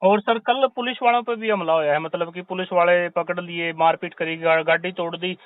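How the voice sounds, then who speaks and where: A man's voice: sits high, steady tone, normal speed, no echo. A man, speaking conversationally as a reporter asking questions in a phone interview. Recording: tinny phone-call line.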